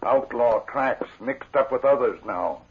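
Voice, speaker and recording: An actor playing a loyal sidekick and tracker in a 1950s radio western, speaking terse broken English. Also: male voice, medium pitch, a little slow, natural voice. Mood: observant. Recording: muffled old radio broadcast, clearly noisy.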